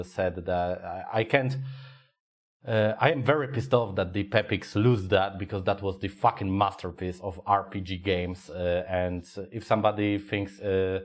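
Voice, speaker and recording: A man with a low voice and a Polish accent chatting on a podcast in English, which is not his first language. He talks in halting stretches, filling gaps while he hunts for words. He swears freely as he goes. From Poland. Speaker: podcast co-host. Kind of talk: casual podcast chat.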